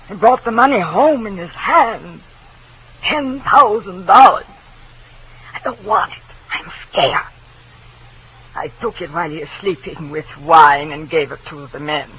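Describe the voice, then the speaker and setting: A mournful immigrant widow in a vintage radio play. She laments slowly in halting, broken English, frightened and stubborn, over a thin, telephone-like recording. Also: fairly low pitch. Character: grieving, frightened immigrant wife, plain and stubborn. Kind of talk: 1940s radio drama acting. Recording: tinny, noisy old radio recording.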